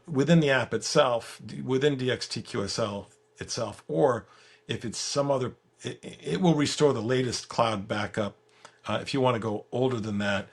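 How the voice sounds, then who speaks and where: A man with a gravelly, husky voice giving a casual software walkthrough as the guest, halting and repeating words as he explains. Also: medium pitch. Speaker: software creator giving a product demo as guest. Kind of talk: casual screen-share walkthrough.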